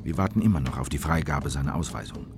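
An actor playing a grave, watchful South American government official, giving a measured, sober account in a radio play. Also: male voice, extremely low pitch, over music. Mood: tense, sober. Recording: clear background noise, ambience.

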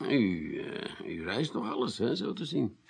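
An actor playing a wry, unhurried investigator in a radio play, a gravelly voice and a dry, musing manner. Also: male voice, medium pitch, big pitch swings, heavily acted. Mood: polite, probing. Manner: dry, musing, punctuated with hums. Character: wry, unhurried male investigator questioning a witness. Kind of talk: 1980s radio play acting.

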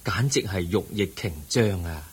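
Kindly holy teacher, low husky voice, expressive, solemn, Hong Kong Cantonese, radio play. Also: male voice, normal speed. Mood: kindly, encouraging. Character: serene, benevolent Buddha on his journey. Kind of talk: radio drama acting.

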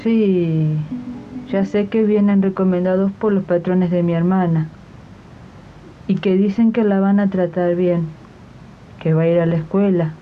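Casting: woman to play a hard-up country mum, halting and resigned, slow delivery, muffled archive radio drama sound. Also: on the low side, fairly even intonation, soft background music, lightly acted. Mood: hesitant, resigned. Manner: hesitant, resigned. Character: poor, resigned, hesitant country mother. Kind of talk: 1980s radio drama acting.

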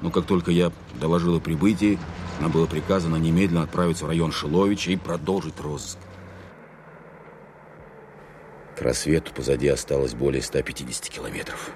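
An actor playing a stern, weary army captain in a war audio drama, speaking in a curt, authoritative, matter-of-fact way. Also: male voice, extremely low pitch, fast talker, darkish tone, a little hiss or hum. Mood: grave, recounting. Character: stern, weary male army captain leading a search team.

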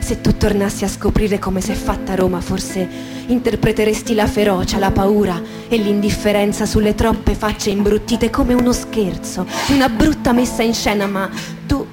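A woman's voice: mid-range voice, steady tone, moderate pace. Woman reading dramatic prose over music. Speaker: stage actress reading on radio theatre. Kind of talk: dramatic literary reading, radio theatre monologue. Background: music with guitar and sound design.

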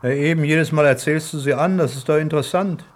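An actor playing a wry, patient companion in a German radio play, with a dry, sardonic manner. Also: male voice, fairly high pitch, brisk, lightly acted. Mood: indulgent. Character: wry, patient companion humouring his friend.

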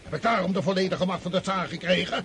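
Old sick general, imperious, scolding, higher-pitched voice, radio play, faint noise. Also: male voice, fairly even intonation, quick pace, a bit bright. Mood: furious. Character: old, sick, irascible highborn army commander. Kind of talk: radio drama acting.

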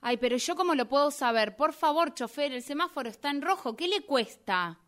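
A woman's voice: high-pitched, animated, brisk, a bit bright. A woman playing an insistent, exasperated bus passenger pleading to get off in an amateur radio drama. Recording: noisy amateur recording with vehicle ambience. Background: bus and vehicle ambience.

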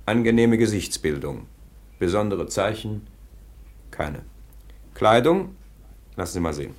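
An actor playing a gruff, impatient nineteenth-century police sergeant in a radio drama, brusque and commanding. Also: male voice, on the low side, animated, measured pace. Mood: dry, methodical. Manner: brusque, commanding, officially clipped. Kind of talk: German radio drama acting.

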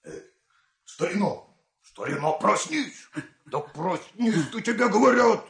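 A man acting a brisk, impatient royal servant, urgent and insistent, in an audio fairy tale. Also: high voice, animated, gravelly, fairly bright tone, roomy sound, heavily acted.

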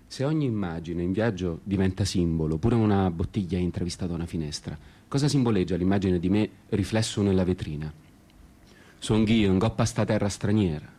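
An actor playing a disenchanted solitary traveller, narrating a literary radio-theatre monologue in a measured, introspective and ironic way, with guitar behind him. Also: male voice, deep voice, dark tone. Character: disenchanted male traveller wandering a foreign city alone.

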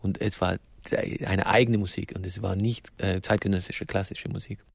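A man talking spontaneously as an expert guest, explaining in a halting way and often rephrasing himself. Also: deep voice, little pitch movement, vocal fry, dry recording. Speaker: interview guest, music expert.

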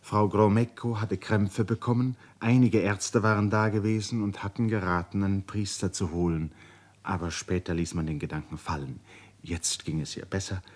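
A man with a husky voice giving measured, serious literary narration for a radio drama. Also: deep voice, steady tone.

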